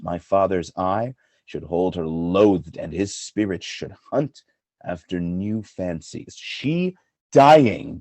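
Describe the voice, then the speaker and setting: A low, rough-edged male actor as a jealous warrior general in a Shakespeare radio play. He declaims grandly with huge swings of pitch, proud and suspicious, always close to an outburst. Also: dark tone, no echo, exaggerated voice. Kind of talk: Shakespearean radio play acting, verse delivery.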